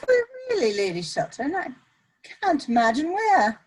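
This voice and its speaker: A woman's voice: sits high, very animated, normal speed, a little mellow, rough recording. A woman playing a witty, scheming society lady in a period stage comedy, speaking in an arch, coy, polished way. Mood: arch, polite.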